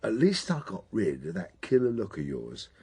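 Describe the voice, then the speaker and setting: Husky, animated British police detective. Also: male voice, on the low side, moderate pace, exaggerated voice. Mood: exasperated. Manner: emphatic, sardonic, slangy. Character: blunt older male British police detective, gruffly mentoring. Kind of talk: naturalistic radio drama acting.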